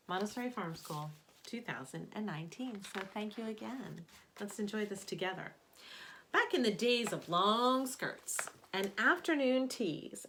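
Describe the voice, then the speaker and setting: A woman with a mid-range voice reads a children's bedtime story aloud. She sounds like a teacher sharing a picture book, giving key words expressive, dramatic emphasis. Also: medium pitch.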